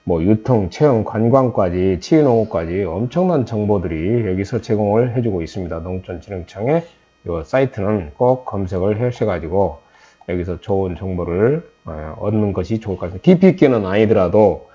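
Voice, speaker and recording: Low, dark-voiced Korean man lecturing. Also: expressive, fairly quick, slightly rough, dull audio. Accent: Jeolla Korean, mostly softened. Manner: conversational, with many "eo" fillers and restarts. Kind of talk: recorded lecture to trainees.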